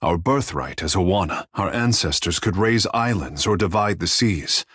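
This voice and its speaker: Game role: young, self-regarding demigod artist; low husky voice, grand formal phrasing, close dry sound. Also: male voice, lively intonation, moderate pace, fairly bright tone, no room sound, heavily acted. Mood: earnest, reflective. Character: vain, theatrical young male demigod water shaper and artist. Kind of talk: video game character dialogue.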